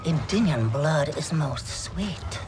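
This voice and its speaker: Slow, sinister blood sorceress. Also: female voice, sits low, animated, over music. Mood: sinister relish.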